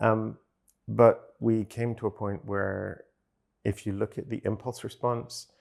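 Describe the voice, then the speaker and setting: A man giving measured technical explanations in an interview, often pausing mid-sentence as he goes. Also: lowish voice, flat delivery, gravelly, a little mellow. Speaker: audio company chief executive interviewee.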